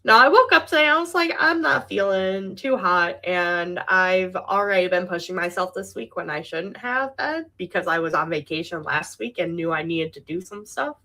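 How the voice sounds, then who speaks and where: A woman hosting a casual podcast, relaxed and candid, giggling as she talks about her day. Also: on the low side, normal speed, hardly any pauses. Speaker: podcast host.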